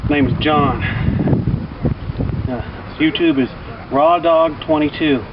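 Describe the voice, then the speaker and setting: A man's voice: on the high side, takes it slowly. A man casually telling a rambling personal story in a folksy, colloquial, emphatic way. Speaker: vlogger telling personal stories. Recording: distant mic, muffled, loud outdoor traffic-like noise.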